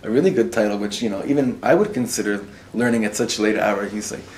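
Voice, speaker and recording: Animated rabbi teaching a live class. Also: male voice, lowish voice, fast, a little hiss or hum, poor audio. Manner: animated, mixes in Hebrew and Yiddish words, questions the audience. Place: room with a live audience. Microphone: recorded for web students.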